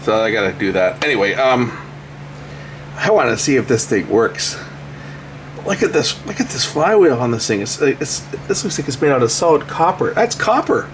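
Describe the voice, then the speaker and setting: A man's voice: medium pitch, sing-song, moderate pace, slight background noise. A man giving casual, unscripted repair commentary, thinking aloud as he works with his hands. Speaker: electronics repair video host.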